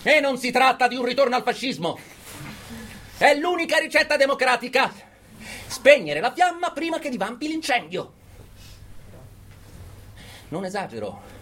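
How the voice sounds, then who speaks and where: Fanatical male demagogue for radio theatre: bright, forceful, high voice, emphatic oratory, some background noise. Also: very animated, heavily acted. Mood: frenzied, shouting. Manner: emphatic, oratorical, with dramatic pauses. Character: fanatical male political orator urging brutal repression. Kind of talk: theatrical radio monologue as a public speech.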